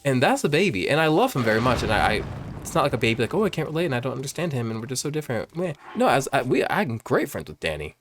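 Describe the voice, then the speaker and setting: A man in his late twenties chatting casually in a vlog, rambling and jumping up in pitch when excited, filmed on a phone at home. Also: fast, slight background noise. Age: 20s. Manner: rambling, animated, swings high when excited. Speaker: vlogger. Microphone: phone camera.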